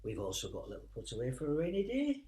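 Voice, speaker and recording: A man's voice: mid-range voice, wildly swooping pitch, racing, mellow, husky, full character voice. A man playing a blunt, affectionate working-class British father in a wartime radio play. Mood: gently reassuring.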